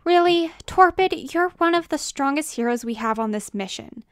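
A woman voicing a scornful, sharp-tongued superheroine in a storybook, scoffing as she talks. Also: sits high, lively intonation, moderate pace, no echo, studio quality, pitched above the performer's own voice. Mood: exasperated.

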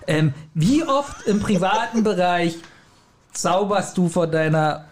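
A man's voice: sits high, takes it slowly. A man co-hosting a casual podcast chat, cheeky and joking. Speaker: podcast co-host.

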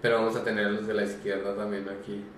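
Low flat guy, distant and echoey. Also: quick pace, slight background noise. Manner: low-key, flat calls to squad. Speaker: teammate. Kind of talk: casual voice chat callouts.

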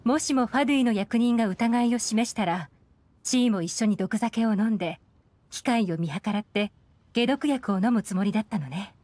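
A woman's voice: on the high side, moderate pace, warm tone, dry recording, close to an everyday voice. A woman playing a self-assured, sly young intelligence agent in an anime game, composed, teasing and knowing. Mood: composed explaining. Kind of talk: Japanese anime game voice acting. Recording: music behind the voice.

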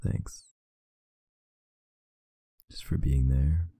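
A tired man talking softly and intimately, close to the mic, in an affectionate boyfriend roleplay. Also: rumbling low, steady tone, very slow, gravelly, husky, dry recording. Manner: soft, intimate, affectionate murmuring. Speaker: ASMR roleplay performer.

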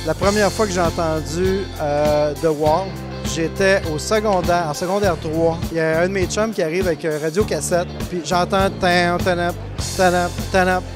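Man, middle-aged, high voice, Quebec French accent, animated anecdote, music behind. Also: moderate pace. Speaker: interviewed music fan. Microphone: handheld interview microphone.

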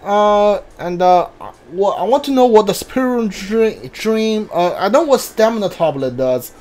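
A gamer giving casual, hesitant commentary on a playthrough, often muttering to themselves in a halting way. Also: mid-range voice, expressive, a little mellow. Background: video game music.

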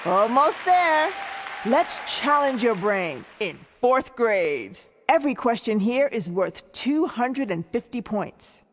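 A woman voicing a cheerful animated quiz show host, presenting in an encouraging, emphatic scripted style. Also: fairly high pitch, slow. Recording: reverberant hall with clear crowd noise.

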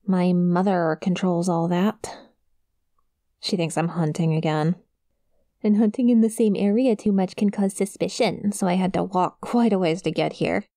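A woman's voice: fairly low pitch, normal speed, mellow, no echo, clean studio sound, natural voice. A woman playing a friendly, awkward young succubus demon in an intimate ASMR roleplay, with a shy, casual, hesitant manner. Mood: chatty, awkward. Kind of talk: ASMR roleplay, intimate one-sided conversation to the listener.

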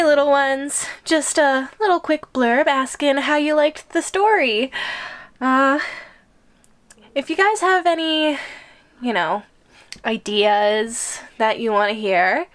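A woman's voice: sits high, lively intonation, takes it slowly. A woman hosting her channel, talking casually to her listeners in a sign-off. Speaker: channel host.

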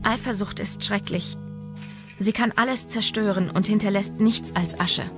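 A young murder victim tells her own story from beyond death, voiced by an actress. It is scripted true-crime narration, somber, at a medium pitch, with music clearly playing underneath. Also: steady tone, normal speed, natural voice. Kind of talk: scripted true-crime voice-over, German dub.

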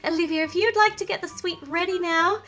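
A woman voicing a kindly doctor doll in children's toy play, gentle, chatty and reassuring. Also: very high-pitched, a little fast. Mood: encouraging.

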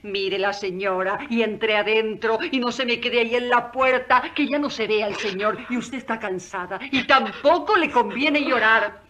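Old maidservant, high voice, cheeky, chatty, emphatic, radio drama. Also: female voice, normal speed, bright, forceful tone, a little hiss or hum. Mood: folksy scolding. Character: lively, shrewd, cheeky old maidservant, devoted and superstitious.

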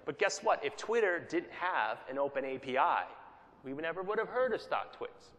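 A man's voice: on the high side, big pitch swings, normal speed, a bit bright. A man giving a conference keynote, conversational, often checking in with the audience by asking "right?". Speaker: keynote speaker. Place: conference hall. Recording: long hall reverb.

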